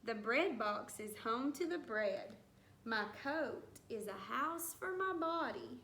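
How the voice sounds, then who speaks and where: A woman teacher reading a rhyming picture book aloud to young children, with deliberate, singsong storybook phrasing. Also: a little high, lively intonation, fairly bright tone. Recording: roomy echo with some background noise.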